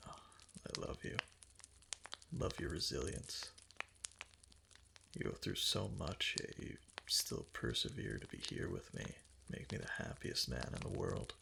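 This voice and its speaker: Man playing a deep, gravelly, doting yandere fox husband. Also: big pitch swings, noisy background, heavily acted. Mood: tender, adoring. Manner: tender, halting, cooing endearments. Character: male kitsune fox-spirit husband, doting, obsessively devoted yandere. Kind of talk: intimate roleplay ASMR, soft and partly whispered.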